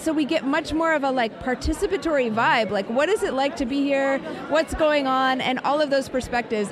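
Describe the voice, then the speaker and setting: A woman hosting conference radio, giving enthusiastic, thankful closing remarks. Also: sits high. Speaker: radio host. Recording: conference radio with music behind. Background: music.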